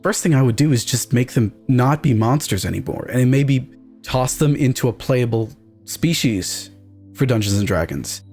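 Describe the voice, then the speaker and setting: A man's voice: medium pitch, animated, moderate pace, darkish tone, breathy edge, faint music behind. A man speaking as a podcast guest, discussing fantasy games in an emphatic, candid, opinionated way. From Canada. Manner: emphatic, candid, swears casually. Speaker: podcast guest, game writer.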